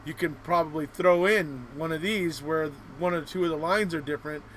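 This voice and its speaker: A man's voice: a little high, normal speed, a bit bright, slight background noise, low-quality recording. A man hosting a casual chat, talking in an encouraging, wordy way. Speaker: host.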